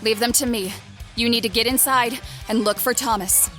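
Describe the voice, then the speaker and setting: A woman's voice: a little high, steady tone, moderate pace, music in the background, close to an everyday voice. A woman playing a noble, commanding captain of the town guard in a fantasy audio drama, formal and authoritative. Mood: firm, urgent.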